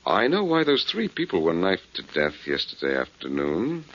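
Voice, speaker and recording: An actor playing a cool, quick-witted, wry male detective with clipped delivery in a 1940s radio drama. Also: deep voice, huge pitch swings, fairly bright tone, full character voice. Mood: sly bluffing. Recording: dull 1940s radio recording.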